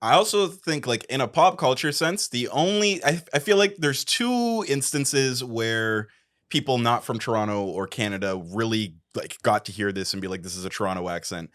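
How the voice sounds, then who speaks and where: A man co-hosting a casual podcast chat, giving measured explanations. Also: medium pitch, animated, fairly bright tone, no echo, clean studio sound. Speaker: podcast co-host.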